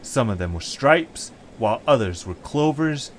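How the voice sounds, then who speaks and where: A man's voice: medium pitch, animated, a little slow, a little hiss or hum. A man narrating a scripted adventure story as the narrator.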